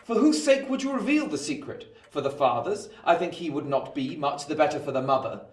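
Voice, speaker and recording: Shrewd Victorian lawyer played by a man, radio drama. High-set, swooping voice, coldly calculating, builds arguments deliberately. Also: expressive, reverberant, recorded from a distance, close to an everyday voice. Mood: pointed, forceful. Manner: deliberate, rhetorical, emphatic courtroom-like delivery. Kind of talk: radio play acting.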